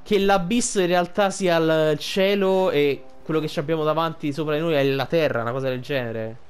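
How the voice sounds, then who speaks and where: A man's voice: fairly high pitch, a little fast, clean tone, soft background music. A man giving casual, informal playthrough commentary as a gaming streamer, thinking aloud.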